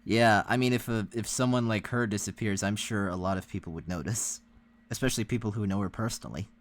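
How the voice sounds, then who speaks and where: A man with a somewhat low voice chats as a reaction co-host. He is easygoing and agreeable, commenting casually with some hesitation. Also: fast talker.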